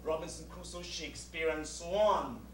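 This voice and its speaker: An actor playing a proud, meticulous West Indian servant with a Caribbean accent, making formal, emphatic speeches in a theatrical style. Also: male voice, a little high, normal speed, close to an everyday voice. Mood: insistent. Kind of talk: theatrical two-hander stage acting. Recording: roomy distant stage recording with hiss.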